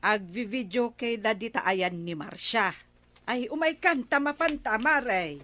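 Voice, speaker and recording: A woman's voice: fairly high pitch, expressive, a little slow, sharp tone. A woman with an Ilocano accent playing a chatty village friend in a comedy radio drama.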